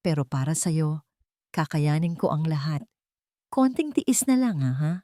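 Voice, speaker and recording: A woman's voice: low-pitched, sing-song, measured pace, dry recording, clean studio sound. A woman with a Filipino accent voicing a tired, tender, determined expectant mother in an audio romance. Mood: tender, weary. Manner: tender, tired, soft-spoken. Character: weary, tender, determined pregnant young mother.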